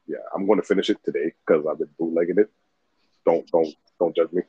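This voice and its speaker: Very deep, husky man chatting loosely. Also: steady tone, fairly quick, dry recording. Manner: rambling, loose, swears freely. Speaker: podcast co-host. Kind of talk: casual chat. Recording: dull lo-fi dry recording.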